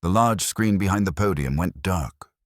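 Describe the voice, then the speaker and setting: A man's voice: low-pitched, moderate pace, no echo, studio quality. A man reading a scripted dramatic story as narrator, in a solemn, formal way.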